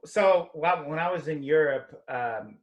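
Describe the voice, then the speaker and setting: A man from Russia hosting a casual interview over a video call, relaxed and full of fillers. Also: on the high side, a little slow, highs cut off. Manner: relaxed, many "like" fillers, laughs easily. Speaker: podcast host.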